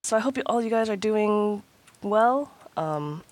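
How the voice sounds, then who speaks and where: A woman's voice: mid-range voice, expressive, measured pace, a little mellow, no echo. A woman hosting her gaming channel, chatting casually over gameplay while congested from a cold, rambling with frequent ums and restarts.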